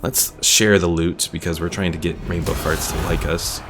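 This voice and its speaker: A man's voice: low-pitched, flat delivery, moderate pace. A man giving casual, easygoing commentary while streaming a game, thinking aloud as he plays. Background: game music.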